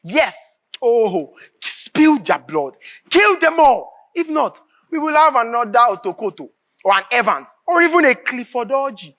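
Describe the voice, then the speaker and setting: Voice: mid-range voice, big pitch swings, unhurried, a bit bright. An actor with a Nigerian accent playing an anguished, bitter writer in a live stage drama, declaiming emphatically and repeating phrases. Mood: agitated. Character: anguished, bitter writer raging at a corrupt nation.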